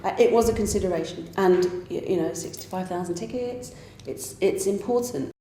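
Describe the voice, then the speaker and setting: A woman's voice: mid-range voice, a little fast, dark tone. A woman giving thoughtful interview answers, often restarting and repeating words. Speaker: pantomime writer and director. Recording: echoey room with faint noise.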